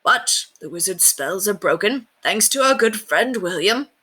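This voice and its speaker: Woman doing noble old-time knight guy, grave but warm, storybook read, bright edge. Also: medium pitch, lively intonation, unhurried, breathy edge, exaggerated voice. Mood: tender. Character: noble male knight, aged lord, grave and warm-hearted.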